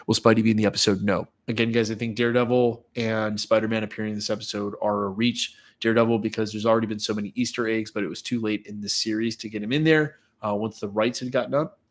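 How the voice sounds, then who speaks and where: A man in his thirties with a stuffy, congested voice from a cold, hosting a casual live stream and answering viewer comments. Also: lowish voice. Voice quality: nasal, stuffy. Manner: loose and chatty, reads viewer comments aloud and answers them. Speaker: YouTuber hosting a live watch party.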